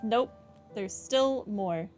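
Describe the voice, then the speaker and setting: A woman's voice: medium pitch, drawn-out words, a little mellow. A woman giving casual, offhand commentary as she plays through a video game. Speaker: gaming streamer. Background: game music.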